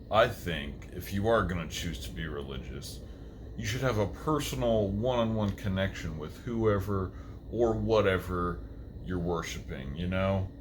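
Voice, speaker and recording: An undead reaper and metal musician, played by a man, speaks in a low voice. He is brooding yet polite, answering as a talk show guest in an earnest, measured way. A light ambient noise sits underneath. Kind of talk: interview answers.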